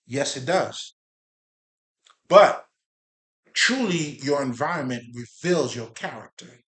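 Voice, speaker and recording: A man originally from Haiti speaking straight to his audience in a loose, rambling monologue. His delivery is animated and full of profanity and repeated fillers. His voice sits a little high for a man. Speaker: spiritual video creator. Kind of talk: casual monologue to viewers.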